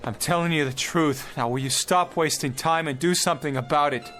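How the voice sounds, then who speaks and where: A man's voice: fairly high pitch, brisk, a bit bright, soft background music, close to an everyday voice. An actor playing a desperate, indignant man who pleads urgently in a radio drama. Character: desperate man trying to prevent a presidential assassination.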